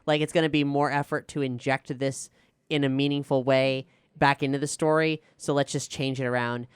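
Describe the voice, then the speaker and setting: Mid-pitched voice, clear and smooth, dry close sound, reflective storyteller, casual panel talk. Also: little pitch movement, normal speed, dry recording. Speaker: showrunner, writer and cast member of an audio drama.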